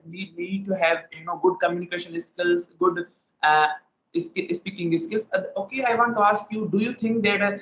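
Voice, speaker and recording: High-voiced young man, curious, echoey. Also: fairly bright tone. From South Asia. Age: 20s. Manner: inquisitive, poses questions, quick bursts. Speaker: conversation partner. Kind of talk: casual conversation practice. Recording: echoey room recording.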